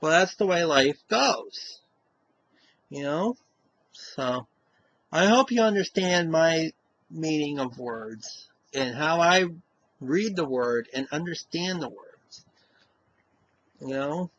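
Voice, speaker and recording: A man's voice: on the high side, expressive, takes it slowly, pauses often, fairly bright tone, highs cut off. A man with a Western Massachusetts accent, talking casually to camera in a rambling way, often tacking on "you know?". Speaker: vlogger.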